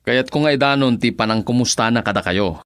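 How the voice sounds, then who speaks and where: Male actor as young provincial visitor, self-assured, chatty, breezy, medium pitch, dry studio sound, radio drama. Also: fairly quick, a bit bright, clear, resonant tone, dry recording, natural voice. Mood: polite, friendly. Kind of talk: Ilocano radio drama acting.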